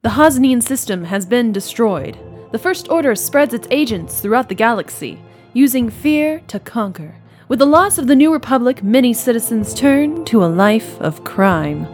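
A woman's voice: on the high side, big pitch swings, moderate pace, darkish tone. A woman reading scripted opening narration for an audio drama. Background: music.

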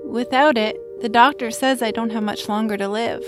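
A little troll girl, voiced by a woman, talks in a high, childlike voice full of innocent curiosity. She is tender and wondering, in family audio drama style with music underneath. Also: steady tone, normal speed, natural voice. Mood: sad, tender. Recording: music behind the voice.

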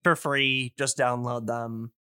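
Man, medium pitch, crisp voice, dry close sound, enthusiastic casual podcast talk, rambling explanations. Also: level tone, slow pace, bright, forceful tone, no echo. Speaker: podcast speaker on old-time radio.

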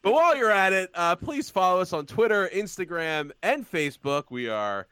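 A man's voice: sits high, sing-song, normal speed, sharp tone, clean tone, dry recording. A man hosting a sports podcast, wrapping up the show with jokey quips. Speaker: podcast host. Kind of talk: casual comedic sports chat.

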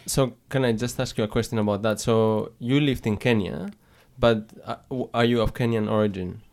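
A man's voice: on the low side, fairly even intonation, normal speed, a little mellow. A man interviewing a guest, asking questions with some hesitation and fillers. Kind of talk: interview conversation.

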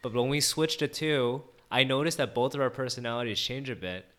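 A man's voice: mid-range voice, animated, brisk, fairly bright tone. A man hosting a casual interview, asking probing questions with a teasing edge. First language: English. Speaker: podcast host.

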